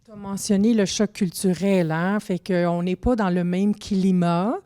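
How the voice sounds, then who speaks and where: Warm-voiced woman hosting. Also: mid-range voice, fairly even intonation, a little slow. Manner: chatty, thinks aloud with many fillers. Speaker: host. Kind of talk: conversational panel interview.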